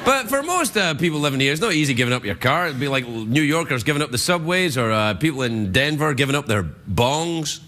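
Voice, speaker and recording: Scottish male talk host, husky from a cold, high and bouncy delivery, loud audience and noisy studio. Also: brisk, few pauses. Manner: joking, animated, laughing at own gags. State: has a cold, slightly husky. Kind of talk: late-night monologue and banter.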